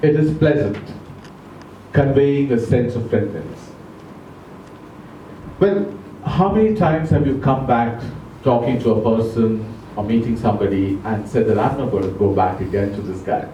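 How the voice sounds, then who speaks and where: A man giving an educational talk to a club audience, speaking slowly and deliberately, asking them questions, English not his first language. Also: medium pitch, dark tone, distant mic, slight background noise. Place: club meeting room. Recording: echoey room recorded from afar.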